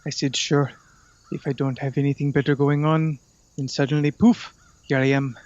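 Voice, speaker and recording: Flat, nonchalant man, acting, dull recording. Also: a little high, moderate pace, no echo, close to an everyday voice. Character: casual, nonchalant male guest. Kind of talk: audio drama acting.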